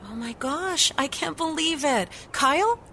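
An actress playing an earnest, caring news reporter in an audio drama. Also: female voice, high-pitched, lively intonation, unhurried, sharp tone, full character voice. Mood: amazed, moved.

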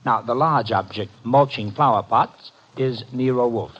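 An actor playing a cocky, wisecracking detective's assistant in a 1950s radio mystery, breezy and slangy. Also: male voice, mid-range voice, unhurried, a bit bright, slight background noise, close to an everyday voice. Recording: dull vintage 1950 radio recording.

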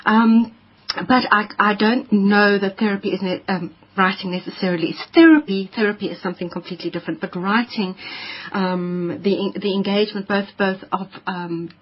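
Lady poet, breathy voice, lots of ums, muffled old radio feel. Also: mid-range voice, normal speed. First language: Afrikaans. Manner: thoughtful, many ums and restarts. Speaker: poet, interview guest. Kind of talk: reflective interview answers.

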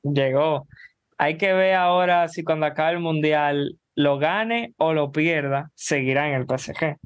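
A man co-hosting a football podcast, speaking in a calm, measured way and calmly correcting his co-host with facts. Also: a little high, clean tone. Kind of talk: casual football chat.

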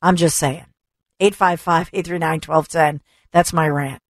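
A talk radio host, a woman, delivering an opinionated rant full of rhetorical questions and repetition, her voice going hoarse. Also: low-pitched, steady tone, unhurried, no room sound, clean studio sound. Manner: emphatic, rhetorical questions, repeats for stress. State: losing her voice. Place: radio studio. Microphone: studio microphone.

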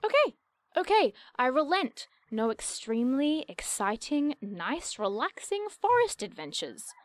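A high-pitched, inquisitive young woman in an audio drama. She talks in precise, wordy bursts, keen and coaxing, like natural banter between road-trip companions. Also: big pitch swings, unhurried. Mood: persuasive, playful. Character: eager, curious young woman, nerdy cryptid-chasing road-tripper.

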